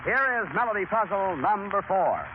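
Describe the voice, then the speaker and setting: American man, radio quiz host, high pitch, chatty, folksy, 1930s presenting, thin scratchy recording. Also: sing-song, moderate pace. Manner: chatty, folksy, addresses listeners directly. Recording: scratchy, narrow-band 1930s radio, noisy. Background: clear broadcast noise.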